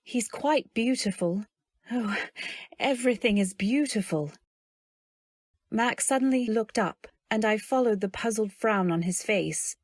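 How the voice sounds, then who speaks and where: A woman playing a dreamy, naive young newlywed, narrating in measured phrases in an old-time radio drama style. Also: mid-range voice, dry recording, clean studio sound, lightly acted. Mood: gentle, wondering. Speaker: narrator and lead. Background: faint music.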